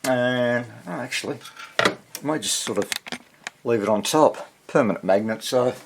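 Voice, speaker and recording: A man giving casual hands-on repair narration, haltingly muttering to himself as he works and thinks aloud. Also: mid-range voice, vocal fry, background noise. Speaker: hobbyist radio restorer, presenter.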